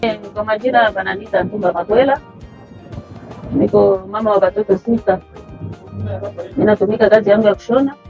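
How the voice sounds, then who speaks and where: A woman's voice: medium pitch, fast, vocal fry, pauses often. A middle-aged woman tailor and mother of six giving a grateful, earnest testimonial in her workshop. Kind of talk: testimonial in Swahili. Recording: slightly dull lo-fi sound with faint music.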